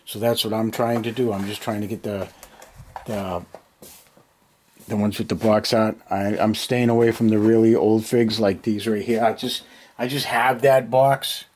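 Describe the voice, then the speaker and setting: The fairly low voice of a middle-aged American guy you would hear puttering around his basement workshop, muttering to himself and chatting to the viewers of his hobby vlog. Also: fairly even intonation, normal speed, darkish tone, slight background noise. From United States. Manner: unscripted, thinking aloud in short muttered bursts. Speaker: hobby vlogger. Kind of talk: casual chat to camera. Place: cluttered basement hobby room. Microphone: handheld camera near arm's length.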